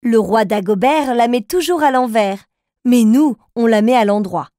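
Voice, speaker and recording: A woman's voice: fairly high pitch, moderate pace, warm tone, dry recording, clean studio sound, natural voice. A woman playing a patient, encouraging mother figure who tells riddles to young children in a cartoon. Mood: playful, teaching.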